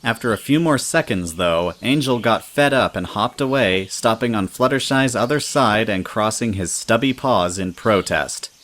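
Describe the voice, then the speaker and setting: A man with a low voice narrates a story. He reads scripted third-person storybook prose as the narrator. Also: lively intonation, moderate pace, hardly any pauses, a bit bright.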